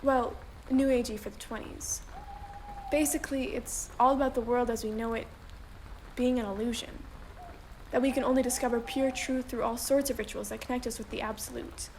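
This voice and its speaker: A woman's voice: on the high side, steady tone, brisk, a little mellow, a little hiss or hum, lightly acted, rough recording. A woman playing a bookish teenage girl keen on the occult in a radio drama. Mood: earnest, mysterious. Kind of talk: scripted radio drama acting.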